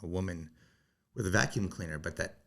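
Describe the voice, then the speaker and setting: A man in his forties who hosts a podcast, talking in a casual, joking way with a raspy voice and deadpan asides, recorded in a home basement game room. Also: sits low, fairly quick. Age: 40s. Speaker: podcast host. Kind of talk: casual comedic chat.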